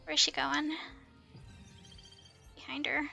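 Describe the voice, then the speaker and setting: A woman's voice: high-pitched, flat delivery, slow, creaky, bright, forceful tone. A woman livestreamer giving casual, easily flustered commentary as she talks herself through a game. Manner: giggly, easily flustered, self-talking. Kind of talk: casual live gameplay commentary. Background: background music.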